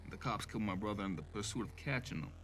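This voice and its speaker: A man playing a brooding police inspector with a dark secret in an amateur audio drama, talking tersely. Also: fairly low pitch, animated, very fast, faint music behind. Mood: bitter, defiant.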